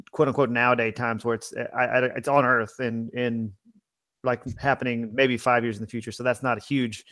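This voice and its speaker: A man of average pitch chats casually as a podcast co-host. His phrasing is measured and a little halting. The recording is dry, with little room sound. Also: a bit bright, no echo. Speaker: podcast co-host and fantasy author.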